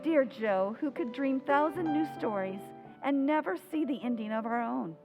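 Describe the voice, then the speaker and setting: A woman playing a loving, wistful mother who narrates in a tender, measured old-time radio drama style. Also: high voice, animated, muffled recording, music in the background.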